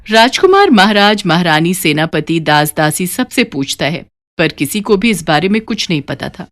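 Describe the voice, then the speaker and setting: A woman narrating a scripted animated moral story in an expressive storytelling manner. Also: lowish voice, moderate pace.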